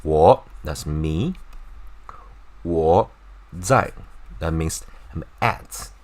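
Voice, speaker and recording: A man's voice: very deep voice, expressive, very slow, a little mellow. A man from China with a Chinese accent, a native Chinese speaker, teaching an informal language lesson and repeating words to demonstrate pronunciation.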